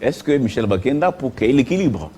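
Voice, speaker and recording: A man with a Congolese accent hosting a conversational interview, putting his questions in a halting, drawn-out way. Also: mid-range voice, sing-song, a loud crowd. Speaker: interview host.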